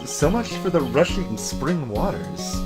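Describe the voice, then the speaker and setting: A man's voice: a little high, sing-song, measured pace, loud music behind the voice. A man voicing a sardonic young defense lawyer in a video game, dry and given to stammering when flustered. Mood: dry, sarcastic.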